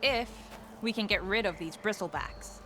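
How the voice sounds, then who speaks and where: A woman's voice: medium pitch, normal speed, a bit bright, soft background music, natural voice. A woman playing a practical, teasing soldier in a video game, speaking casually with dry humour. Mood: wry. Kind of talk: video game cutscene acting.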